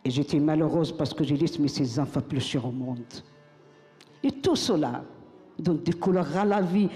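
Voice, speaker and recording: A woman's voice: low-pitched, warm tone. Older woman chanting in a hall. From Morocco. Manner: rhythmic, emphatic, repeats phrases like a chant. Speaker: poet reciting her own text. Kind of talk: spoken-word recitation to an audience.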